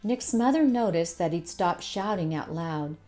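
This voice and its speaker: Slow-paced woman reading a children's story. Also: on the low side, expressive, dark tone, soft background music. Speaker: storytime host and narrator. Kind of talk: scripted children's storybook narration.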